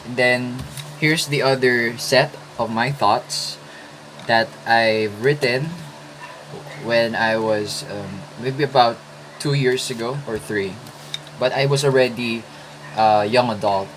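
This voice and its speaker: Young man, first language Filipino, mid-pitched voice, unhurried hesitant delivery, casual writing vlog, soft air-con hum behind. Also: poor audio. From Philippines. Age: 20s. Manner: slow, thoughtful, with hesitant restarts. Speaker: writing vlogger.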